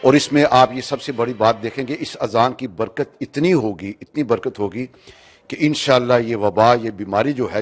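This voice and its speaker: A man from the community giving a spoken statement in a news interview. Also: mid-range voice.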